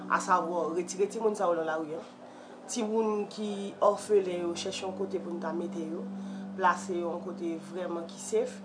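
A woman's voice: lowish voice, steady tone, a little fast, background noise. A woman from Haiti, a social services director, earnestly laying out needs point by point in a documentary interview. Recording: music playing under the voice. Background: music.

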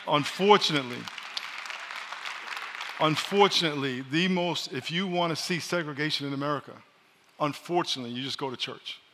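A pastor preaching a sermon, loud and joking, calling on his congregation to answer back. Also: medium pitch. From New York. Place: large church hall. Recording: live sermon in a reverberant hall.

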